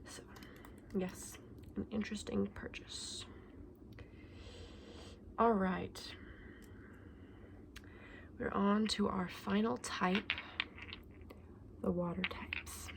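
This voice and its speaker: A woman voicing a gentle, scholarly dragon egg saleswoman in an ASMR fantasy roleplay, mostly whispering and explaining earnestly with small hesitations. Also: mid-range voice, steady tone, very slow, gravelly, pauses often. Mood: soft, fascinated.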